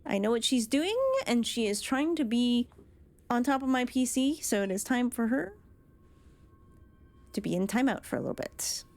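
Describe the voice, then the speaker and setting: A woman's voice: a little high, expressive, moderate pace, long pauses, a little mellow. A woman streamer giving casual, reflective commentary on a game, analytical and happy to swear.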